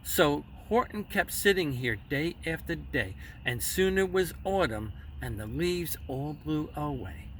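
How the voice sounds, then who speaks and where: A man telling a children's story expressively, with dramatic, measured phrasing. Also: a little high, animated. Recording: slightly distant mic, faint background noise.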